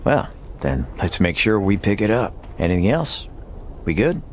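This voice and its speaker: An actor playing a cool, determined Western gunslinger in a video game, laconic and dry. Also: male voice, fairly low pitch, big pitch swings, normal speed, a little hiss or hum.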